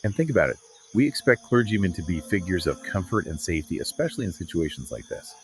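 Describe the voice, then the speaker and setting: A man's voice: low voice, very animated, fast talker, a little hiss or hum. A man co-hosting a podcast, discussing literature conversationally with an emphatic, dramatic delivery. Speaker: podcast co-host.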